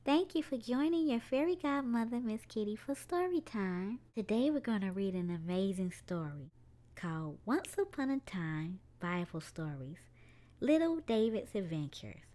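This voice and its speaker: A woman's voice: mid-range voice, lively intonation, normal speed, dark tone. A woman hosting a children's storytime, reading a picture book aloud expressively to young children, with music behind her.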